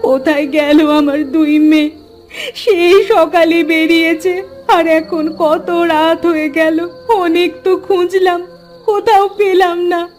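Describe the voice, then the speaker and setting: Woman acting cartoon mother, anxious, loving, plaintive, very high pitch, faint music. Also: fairly even intonation, slow, a little mellow. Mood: weeping worry. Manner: plaintive, worried. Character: anxious, loving village mother of two daughters.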